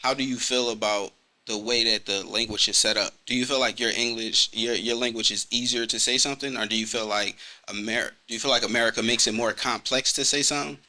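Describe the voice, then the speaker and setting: Bright-voiced male podcast host. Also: mid-range voice, fairly even intonation, a little fast. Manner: casual and curious, rambling questions. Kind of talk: casual podcast interview.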